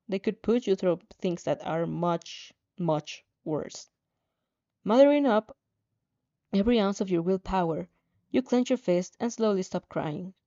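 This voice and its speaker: Woman reading fanfic out loud, dramatic, very mellow warm tone, bit muffled lo-fi mic. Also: medium pitch. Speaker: fan fiction narrator. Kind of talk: dramatic second-person story reading.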